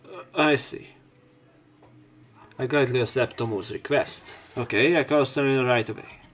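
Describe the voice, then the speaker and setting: A young man narrating a visual novel let's play, reading the story plainly and getting louder when voicing the hero. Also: mid-range voice, normal speed. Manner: reads narration plainly, jumps up louder for the hero's lines.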